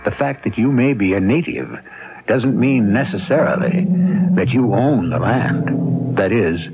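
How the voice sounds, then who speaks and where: Male radio host, dramatic narration, deliberate pauses, wry, lowish voice, thin band-limited broadcast. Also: animated, phone-quality sound, heavy background noise. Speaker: host of a radio mystery series. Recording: thin old radio broadcast, loud music.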